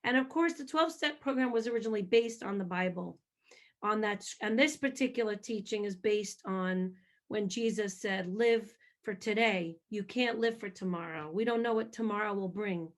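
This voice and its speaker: A woman singer answering interview questions about her faith, telling her story in a lively, hyper way. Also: mid-range voice, normal speed. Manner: animated storytelling, self-described hyper.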